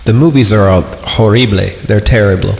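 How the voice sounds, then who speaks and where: Low-voiced man in heavy echoing reverb. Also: fairly quick, creaky. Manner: irreverent, joking, digressive, easily amused. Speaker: podcast host reading and reacting to horror stories. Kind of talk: casual comedic reading with rambling asides. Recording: echoey long reverb, music behind the voice. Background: music.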